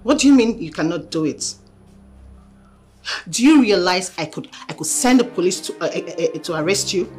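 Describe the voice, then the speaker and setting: A woman's voice: lowish voice, expressive, normal speed, a little mellow, soft background music, natural voice. A woman acting as a mocking, threatening character who taunts someone with scornful laughs. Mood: taunting. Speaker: actor.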